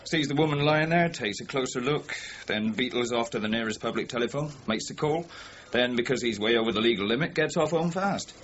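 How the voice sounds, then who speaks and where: An actor playing a plain-speaking junior detective in a radio crime drama, colloquial and methodical. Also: male voice, medium pitch, expressive, brisk, hardly any pauses, a bit bright, natural voice. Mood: speculating. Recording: dull lo-fi audio, faint noise.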